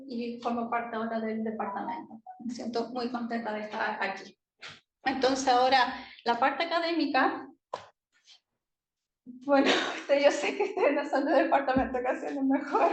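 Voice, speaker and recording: A Chilean woman with a high voice speaks as a university lecturer addressing students. Her delivery is informal and conversational, with small check-ins for the listeners. The room is echoey and there is faint noise behind her. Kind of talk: informal academic talk to students.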